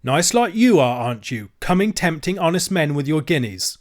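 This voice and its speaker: A lean, bullying horse dealer who mocks in a rough working-class dialect, put on by a male reader. His voice is loud and pushed high. It swoops about with scorn. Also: big pitch swings, normal speed.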